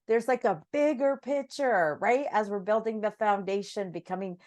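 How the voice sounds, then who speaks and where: A woman's voice: medium pitch, normal speed, darkish tone. Enthusiastic woman hosting a podcast. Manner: enthusiastic, effusive. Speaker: podcast host. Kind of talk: chatty interview hosting.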